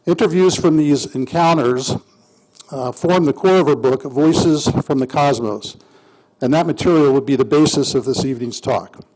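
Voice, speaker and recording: A man hosting an event, reading a prepared introduction of the guest speaker in a formal, measured way. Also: mid-range voice, darkish tone, rough recording.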